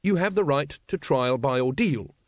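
A man's voice: medium pitch, lively intonation, moderate pace, dry recording, clean studio sound, natural voice. A man playing an earnest, literal-minded young policeman who recites formally in an audiobook. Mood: earnest, official. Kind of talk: audiobook character acting.

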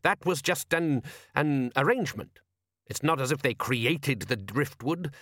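A man's voice: mid-range voice, animated, measured pace, a bit bright, dry recording. A man plays a working-class fisherman dad in a comic storybook. He is stubborn, defensive and full of blustering indignation, speaking colloquially in a dry, close recording. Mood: indignant, blustering.

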